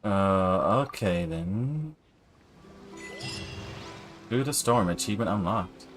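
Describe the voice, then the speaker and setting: A man's voice: low-pitched, expressive, slow. A man doing casual, chatty live game commentary, reacting aloud to what happens. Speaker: game streamer. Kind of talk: casual live gameplay commentary, reading screen text. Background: game music.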